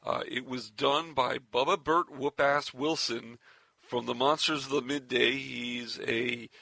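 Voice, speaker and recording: A man's voice: medium pitch, fairly even intonation, measured pace, creaky, bright, forceful tone, no room sound. A man speaking as a podcast host, casually introducing segments.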